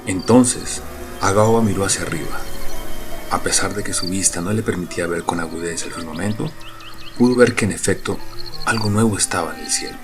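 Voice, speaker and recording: Male narrator, deep low voice, scripted storytelling, audio drama, loud background music. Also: fairly quick.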